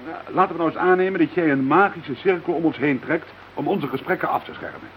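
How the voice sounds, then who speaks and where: A man's voice: a little high, fast talker, close to an everyday voice. An actor playing a polite, sceptical man in a radio play, reasoning things out hesitantly. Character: sceptical, polite, hesitant investigator named Joop. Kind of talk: Dutch radio play acting. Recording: dull, slightly hissy radio drama recording.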